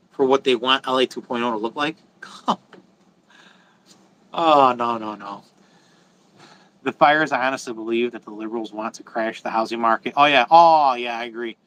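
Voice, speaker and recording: A man's voice: medium pitch, bright, forceful tone, poor audio. A man talking casually and bluntly to viewers as a livestream host, rambling through opinions, repeating phrases for stress and often swearing.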